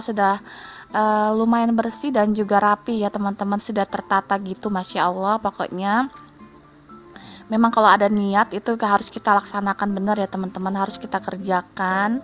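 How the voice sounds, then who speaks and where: A woman with a stuffy nose from a cold, her voice nasal and plugged-up, in a middle-pitched tone. She talks chattily to her viewers, stringing her sentences along with soft fillers. Faint music plays underneath. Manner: chatty, keeps addressing viewers, tags lines with soft fillers. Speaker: homemaker vlogger. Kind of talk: casual vlog voice-over. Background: faint background music.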